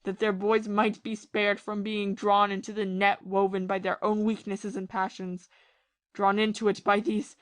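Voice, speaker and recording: A woman playing a bitter, lovesick, ruined ex-army captain in a period audio play, confessing passionately and haltingly. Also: medium pitch, flat delivery, no room sound, natural voice. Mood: anguished. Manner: confessional, impassioned, halting with repeated phrases.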